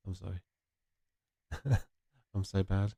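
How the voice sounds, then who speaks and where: A man's voice: deep voice, fairly even intonation, takes it slowly, gravelly, mellow, slightly rough, dry recording. A young man with a congested, sniffly voice, rambling casually with viewers while streaming a game. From England. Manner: rambling and chatty, answering viewers. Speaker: gaming streamer. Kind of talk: casual livestream chat while playing.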